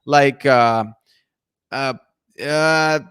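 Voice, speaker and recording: A man's voice: medium pitch, drawn-out words, fairly bright tone, clean tone. A man hosting a casual live chat, reading viewer comments and talking with many restarts and fillers. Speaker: livestream host.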